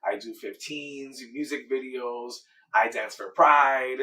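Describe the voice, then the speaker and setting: An earnest man in his thirties from Miami talks about his work with easy enthusiasm and often circles back on his own words. His voice sits at a middle pitch. He is caught from a little way off the mic. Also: slow, sharp tone, far from the mic. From Miami, Florida, USA. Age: 30s. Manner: earnest, enthusiastic, repeats himself. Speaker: dancer and choreographer, guest. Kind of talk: casual interview answers.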